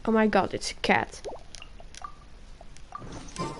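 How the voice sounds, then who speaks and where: A woman's voice: mid-range voice, huge pitch swings, slow pace, slight background noise. A young woman giving casual live gameplay commentary in short fragments as she streams. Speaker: game streamer.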